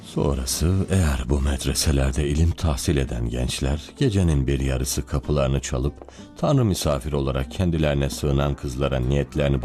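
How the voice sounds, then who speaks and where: An actor playing a calculating priest in an animated film, speaking in deliberate, speech-like sentences. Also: male voice, a bass voice. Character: calculating old priest testing another nation's morals.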